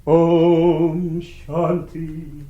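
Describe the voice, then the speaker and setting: Serene Indian guru for radio comedy: unhurried, soft-edged tone, humble and ceremonious, Indian accent, broken English. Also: male voice, a little high, flat delivery, lo-fi sound, faint music behind. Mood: serene, solemn. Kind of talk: radio comedy drama acting.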